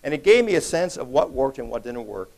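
A man's voice: mid-range voice, sing-song, brisk, creaky, darkish tone. Chatty man giving a keynote in a hall. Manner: conversational, joking, many restarts and fillers. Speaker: keynote speaker. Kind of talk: conference keynote talk. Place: large conference hall.

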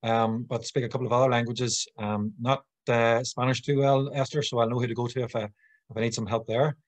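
A man's voice: mid-range voice, level tone, a little fast, no room sound. A man in his forties with a Northern Irish accent, presenting conversationally and a little hesitantly as a guest speaker on a video call. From Northern Ireland. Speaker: guest speaker, sales consultant. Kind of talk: informal business presentation. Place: room at home or small office, indoors. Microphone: computer mic on video call.

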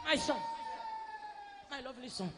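A prophet speaking as God at a church service, tender and pleading, repeating phrases and sometimes shouting. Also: high-pitched, huge pitch swings, normal speed, some chatter behind.

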